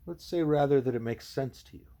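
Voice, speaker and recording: A man voicing a calm, wise male gorilla teacher from a storybook, speaking in measured, formal, patient phrases. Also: mid-range voice, voice pushed up, lightly acted. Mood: patient, didactic.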